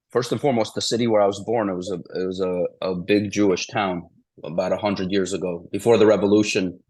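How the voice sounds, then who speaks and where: Forties male guest from Ukraine; deep, even delivery with little movement, meandering with restarts. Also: no room sound. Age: 40s. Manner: meandering, restarts, repeats "the bottom line is". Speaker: interview guest. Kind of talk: casual interview storytelling.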